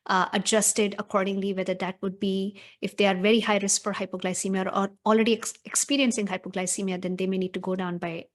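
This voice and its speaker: A woman physician presenting in a webinar, walking through treatment steps in a brisk, technical way with many filler sounds. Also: medium pitch, flat delivery.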